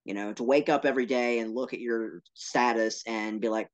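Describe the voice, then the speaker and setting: A man talking as a podcast guest about his work, earnest and animated, with playful asides. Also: medium pitch, a little fast. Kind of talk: casual interview talk.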